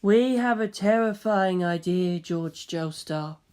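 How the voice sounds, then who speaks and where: A narrator voices a male head of state in an adventure tale. The delivery is solemn and uneasy, at a medium pitch. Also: takes it slowly, a little mellow, clean tone, natural voice. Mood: solemn, alarmed. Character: solemn, alarmed male national leader.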